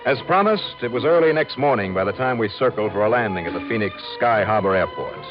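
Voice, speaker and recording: A dull, aged radio recording carries a male actor as a hard-boiled yet breezy American investigator. His voice sits low and moves in lively swings. He talks in a wry, conversational way, in the style of vintage detective radio. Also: fast, over music, natural voice. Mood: brisk, wry. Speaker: narrator and lead actor of a detective serial. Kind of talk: old-time radio detective acting and first-person narration.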